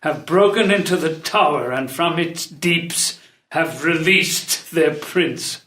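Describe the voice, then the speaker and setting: Slow-spoken, highish-voiced loyal royal counselor, acted by a man. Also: pushed and bright, slight background noise. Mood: breathless loyalty. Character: loyal, humble old royal servant and counselor. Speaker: actor. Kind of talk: unrehearsed table read of classical verse drama. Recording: echoey room.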